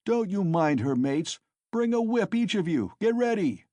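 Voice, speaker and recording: A man's voice: high-pitched, very animated, measured pace, mellow, no room sound, voice pushed up. A man voicing a drunken, thick-necked young male peasant who bellows and boasts in an audiobook. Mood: drunken bellowing.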